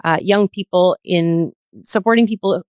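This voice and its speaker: A woman speaking as a podcast guest in a thoughtful, conversational discussion, explaining things carefully and pausing to find her words. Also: fairly low pitch, a little mellow, no echo, clean studio sound.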